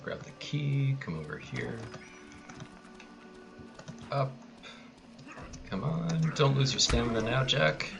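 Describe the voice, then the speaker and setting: A man's voice: mid-range voice, sing-song, slow pace, creaky, long pauses, music in the background. A man giving casual live speedrun commentary with offhand, joking asides.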